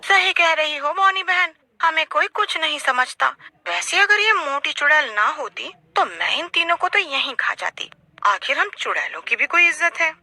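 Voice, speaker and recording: A woman voicing an indignant, haughty witch in a cartoon story, shrill and complaining. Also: expressive, moderate pace, pushed and bright, dry recording, exaggerated voice. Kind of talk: cartoon horror-comedy story voice.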